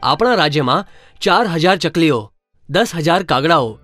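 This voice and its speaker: A man's voice: on the high side, animated, normal speed, fairly bright tone, faint music behind. A man voicing Birbal, a clever, confident male courtier who explains things plainly in a cartoon story.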